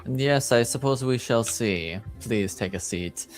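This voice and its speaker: A man playing a reserved, coolly skeptical nobleman in a tabletop roleplaying game, speaking formally and deliberately. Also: mid-range voice, faint music behind, close to an everyday voice.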